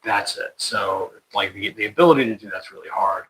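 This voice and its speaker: Young american guy, kinda low but bright voice, rambly and full of ums. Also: rough recording. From United States. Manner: rambling, halting, many fillers and restarts. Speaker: interviewee. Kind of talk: casual conversation.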